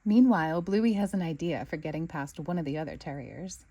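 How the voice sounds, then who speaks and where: Soft-toned woman reading a lively children's story. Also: deep voice, fairly quick, husky. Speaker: storybook reader. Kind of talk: expressive children's read-aloud narration.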